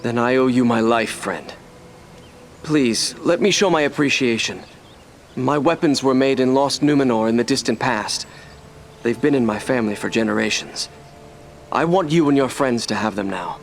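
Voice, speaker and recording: An actor playing a humble, earnest young ranger in a fantasy game, sincere and slightly formal. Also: male voice, medium pitch, normal speed, creaky, a little hiss or hum, close to an everyday voice. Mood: grateful, proud. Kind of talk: dramatic fantasy video game acting.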